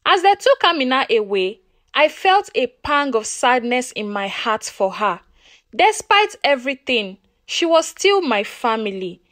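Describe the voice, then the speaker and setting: A woman with a Nigerian accent narrating a first-person folktale in an expressive, deliberate, emotive way. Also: high-pitched, no room sound, studio quality. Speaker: storyteller.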